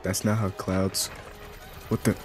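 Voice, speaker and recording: A man improvising a comedic fan voice-over for a cartoon in a deadpan, goofy manner. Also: deep voice, measured pace, music in the background. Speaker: amateur fan dubber.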